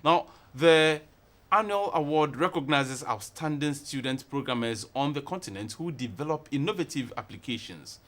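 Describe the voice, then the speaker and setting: A man's voice: fairly high pitch, expressive, moderate pace, fairly bright tone. A man, hosting a TV interview show. He reads a long formal introduction, then stumbles and repeats words as he probes his guest. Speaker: TV talk show host.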